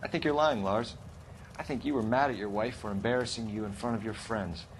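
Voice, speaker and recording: An American actor playing a stern, driven homicide detective in a video game, questioning in a clipped, formal, probing way. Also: male voice, on the low side, fairly quick, slight background noise, close to an everyday voice, rough recording. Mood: accusing, hard. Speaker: police detective. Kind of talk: video game dramatic acting, interrogation.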